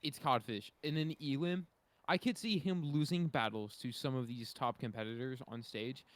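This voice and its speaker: A man's voice: mid-range voice, moderate pace, no echo. A man giving his opinions in casual chat as a podcast panelist.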